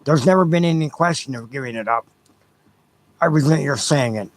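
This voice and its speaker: A man's voice: a little high, brisk, no echo, close to an everyday voice. A man voicing a dismissive, evasive railroad company president, speaking with defensive, hesitant bluster in an audio play. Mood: defensive, resentful.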